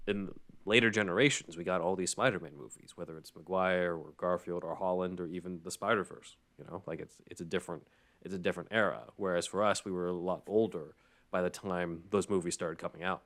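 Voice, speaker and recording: A man in his thirties with a low-pitched voice. He talks in a casual, conversational way as a co-host on a podcast. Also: steady tone, fairly quick, fairly bright tone. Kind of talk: casual chat.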